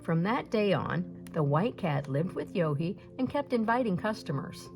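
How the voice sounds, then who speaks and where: Woman narrator, folktale read-aloud, expressive, lowish pitch, lively intonation, faint background music. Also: normal speed, gravelly. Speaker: storyteller.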